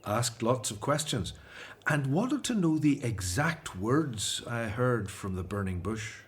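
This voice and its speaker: An earnest hebrew prophet for radio drama, normal man pitch, solemn and measured. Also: sing-song. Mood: solemn recounting.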